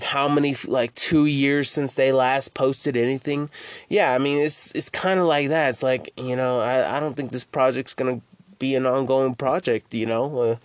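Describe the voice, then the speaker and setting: A man's voice: medium pitch, dry recording. A man hosting his own podcast in casual solo chat, rambling and stammering, swearing freely as he talks. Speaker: podcast host.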